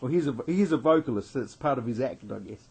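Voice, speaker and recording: Male interviewer, slightly husky, mid-range voice, halting delivery. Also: mid-range voice, expressive, a little mellow. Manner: halting, repeats words. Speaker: radio interview host.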